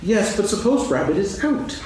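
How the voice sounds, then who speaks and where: Guy doing a dreamy slow-witted storybook bear, high lilting voice, hums to himself, recorded from far off in a hall. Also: very animated, darkish tone. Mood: dreamy musing. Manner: musing aloud, humming, singsong. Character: slow-witted, gentle, absent-minded, food-loving toy bear. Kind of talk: staged dramatic reading of a children's story. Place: stage, actors seated, live audience recording. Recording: echoey hall from far off, faint noise.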